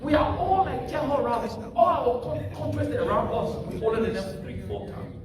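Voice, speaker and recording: A man's voice: very high voice, big pitch swings, normal speed, crowd noise. A man, a preacher making a public comment at a community meeting, talking in an earnest, questioning way. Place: meeting hall. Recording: echoey hall, distant mic, noisy.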